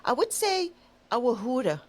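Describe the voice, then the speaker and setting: Rambling, thoughtful woman, lively pitch. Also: a little slow. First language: not Dutch, not English. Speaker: podcast co-host. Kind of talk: casual chat.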